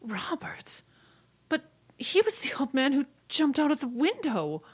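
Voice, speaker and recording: Lady doing a worried prim office secretary, old radio play, highish voice. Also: sing-song, measured pace. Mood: anxious, shocked. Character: newspaper secretary, prim, anxious and protective. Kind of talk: old time radio drama acting.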